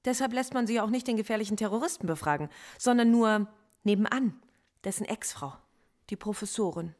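A woman's voice: medium pitch, brisk, a little mellow, lightly acted. An actress playing a defiant, articulate female philosophy professor under interrogation in a radio play. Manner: defiant and articulate, precise and cutting.

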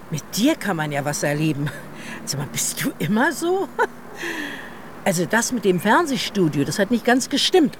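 A woman playing a breezy, amused, caring aunt in a German radio drama, talking in a brisk, colloquial, motherly way. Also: a little high, slight background noise.

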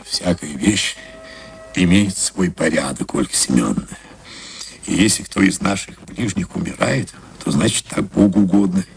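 Deep, creaky, sedate merchant. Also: male voice. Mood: solemn. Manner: measured, sententious, grave. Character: staid, sedate, pious timber merchant husband. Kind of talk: radio audiobook drama acting.

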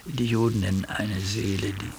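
A man's voice: lowish voice, level tone, moderate pace, gravelly, a little mellow. An actor in a radio drama playing an earnest, ailing older man who reflects on mystical experiences with educated diction. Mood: earnest, reflective. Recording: faint tape hiss.

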